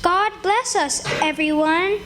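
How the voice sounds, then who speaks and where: A woman's voice: very high voice, slow pace, background noise. A woman playing a sweet, pious, frail little boy in a live radio play, innocent and earnest.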